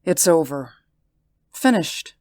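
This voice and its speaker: A man voicing a composed, hard-edged older woman who leads a council, speaking quietly and firmly. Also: fairly high pitch, animated, takes it slowly, no echo, voice pushed up. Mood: grave. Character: cool, commanding older woman council leader.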